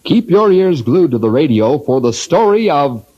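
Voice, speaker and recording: A man's voice: fairly high pitch, sing-song, normal speed. A man announcing an old time radio adventure in a dramatic, scripted style. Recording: dull, muffled old radio recording.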